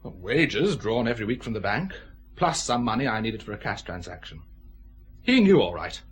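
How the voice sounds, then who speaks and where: An actor playing a genial, proud boss of a small firm, chatty and expansive in an audio drama. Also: male voice, lowish voice, sing-song, normal speed, breathy edge, heavily acted. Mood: obliging. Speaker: actor in a radio detective drama.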